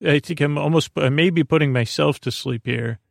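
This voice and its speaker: A man hosting a bedtime podcast in a gravelly voice, rambling hesitantly and repetitively in a monologue meant to lull listeners to sleep. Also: a little high, fairly quick, no echo, studio quality. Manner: meandering, hesitant, repetitive, with murmured asides. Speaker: podcast host.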